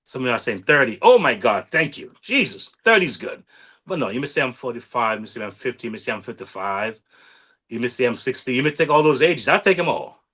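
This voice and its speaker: A man's voice: mid-range voice, very animated, quick pace, sharp tone, breathy edge. A man in his forties hosting his own podcast in a casual motivational monologue, earnestly coaching listeners and repeatedly checking in with "all right?". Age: 40s. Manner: repetitive, keeps asking "all right?"; earnest, self-promoting coach. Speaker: podcast host.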